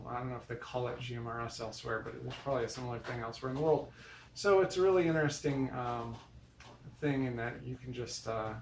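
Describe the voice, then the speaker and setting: An American man talking casually to viewers in a rambling monologue, hesitant and thinking aloud as he goes. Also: mid-range voice. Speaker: vlogger. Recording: echoey room, distant mic, faint noise.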